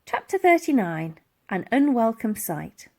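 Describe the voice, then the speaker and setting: A woman narrating a children's adventure story in an animated storytelling style. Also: on the high side, measured pace. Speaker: audiobook reader.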